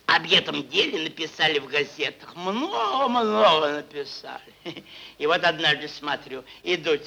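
A man's voice: on the high side, very animated, moderate pace, vocal fry, bright, forceful tone, full character voice. An actor playing a crude, mocking merchant boss who rambles and repeats his words in a theatrical stage style. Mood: plaintive boasting. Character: crude, drunken, bullying male merchant boss, cynical and rambling. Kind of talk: theatrical stage acting, Russian classic prose.

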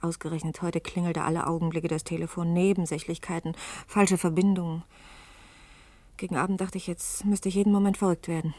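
A woman's voice: fairly low pitch, little pitch movement, a little fast, darkish tone, close to an everyday voice. An actress in a German radio play, playing an anxious woman in an intimate manner. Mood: subdued, tense recollection. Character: anxious woman recounting her search for a man.

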